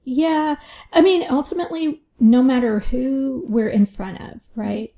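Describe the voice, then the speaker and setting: A woman's voice: sits high, lively intonation, measured pace, vocal fry, mellow. A woman financial advisor answering interview questions in a reflective way, often adding "you know" and "right?".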